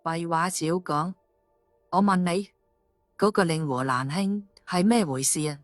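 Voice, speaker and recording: A woman's voice: on the low side, a little slow, no echo, lightly acted. A woman playing a fierce, domineering policewoman in an audio story, commanding and confrontational. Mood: stern interrogation. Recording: music under the voice.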